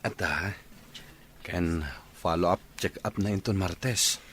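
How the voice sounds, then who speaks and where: Man as tired village father, Ilocano accent, low pitch, husky, dutiful, radio drama. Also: fairly even intonation, slow pace, fairly bright tone, full character voice. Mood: subdued.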